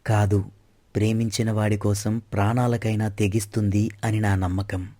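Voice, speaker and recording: A man's voice: fairly low pitch, flat delivery, normal speed, warm tone, natural voice. A man playing a devoted young prince in love, speaking earnestly in a story. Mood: romantic, devoted.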